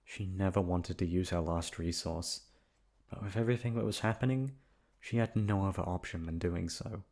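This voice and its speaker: Low-voiced man narrating a bedtime story. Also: moderate pace, darkish tone. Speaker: voice actor reading a bedtime story. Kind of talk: soft storybook narration, ASMR sleep aid.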